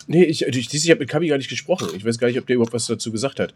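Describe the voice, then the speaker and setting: A man's voice: mid-range voice, rapid-fire, a little mellow, slight background noise. A man chatting casually as a podcast co-host, repeating words and joking dryly. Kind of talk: casual chat.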